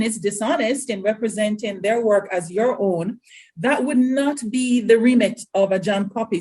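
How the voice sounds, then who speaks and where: A woman's voice: mid-range voice, a little mellow, poor audio. Woman moderating a panel. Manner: clear, explanatory, emphatic.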